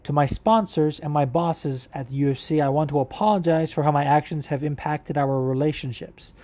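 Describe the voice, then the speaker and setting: A man's voice: a little high, steady tone, a little fast, warm tone. Profane, rambling man talking fight sport. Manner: candid, profane, rambling with fillers. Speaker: podcast host. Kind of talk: casual MMA commentary, answering listener questions.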